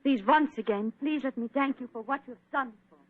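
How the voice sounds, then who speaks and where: A woman playing a polite young pianist, earnest, fairly high voice, thin radio sound with faint hiss. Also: brisk, natural voice. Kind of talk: 1940s radio acting. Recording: tinny old radio broadcast.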